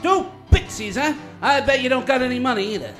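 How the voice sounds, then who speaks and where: An actor playing a gruff, hostile, brusque and streetwise barman in a radio play. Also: very high-pitched, lively intonation, fairly quick, music in the background. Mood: gruff, irritated. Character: gruff, hostile barman who bullies customers.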